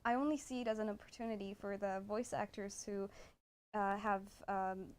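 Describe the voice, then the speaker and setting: A woman chatting casually on a livestream in a halting, giggly way. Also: mid-range voice, steady tone, smooth.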